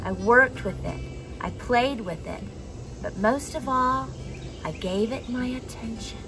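A young adult woman reading a children's picture book aloud expressively, with dramatic pauses and emphasis. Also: on the high side, over loud music. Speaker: storybook reader for a children's church message. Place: outdoors on a wooden deck among trees.

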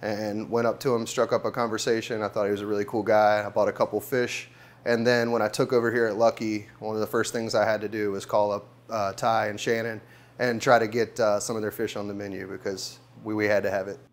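A man in his thirties with a lower voice, a chef talking easily with plenty of fillers. He is recorded on a lapel mic in a restaurant dining room. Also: little pitch movement, fairly quick. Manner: easygoing, with many uh fillers. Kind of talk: casual interview.

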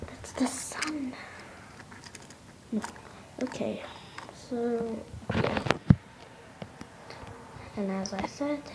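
A woman talking in a casual vlog, rambling with many fillers and restarts and often dropping into a whisper. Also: a little high. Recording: noisy home phone recording.

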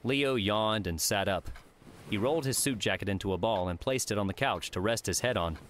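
A man's voice: on the low side, fairly quick, a bit bright, a little hiss or hum. A man reading a work of fiction aloud as scripted audiobook narration.

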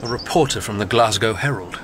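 An actor playing a guarded, earnest young gentleman poet in a radio drama, speaking in a stiff, polite, clipped way. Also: fairly low pitch, a little fast, noisy background, natural voice.

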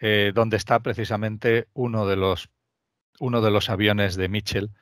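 A man's voice: fairly low pitch, flat delivery, a little slow, no echo, clean studio sound. A middle-aged man acting as a museum guide, explaining aviation history in a hesitant, filler-heavy way over a video call. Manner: hesitant, many fillers and restarts. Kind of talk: casual expert chat.

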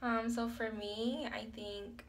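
Raspy-voiced young woman on a phone. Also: fairly high pitch, steady tone, slow, slight background noise. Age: young adult. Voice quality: raspy, hoarse voice. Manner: soft-spoken, many fillers, laughs often. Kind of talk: casual reflective chat to camera. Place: small tiled bathroom. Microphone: phone held at arm's length.

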